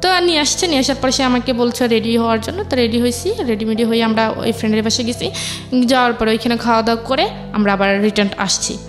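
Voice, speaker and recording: A young Bangladeshi woman speaks in a fairly high voice, telling a personal story as an interview guest. She goes along haltingly, repeating phrases as she recounts things. Music plays behind her.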